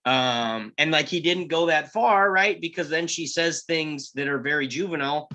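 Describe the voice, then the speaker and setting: A man's voice: a little high, normal speed, bright, forceful tone. A man in casual discussion, talking in a rambling, hedging way peppered with "you know". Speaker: table-read panelist.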